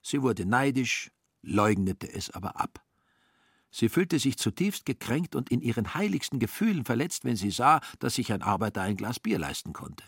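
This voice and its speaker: A man narrating a literary radio play in a measured, dryly ironic way. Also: mid-range voice, big pitch swings, husky, no room sound, clean studio sound.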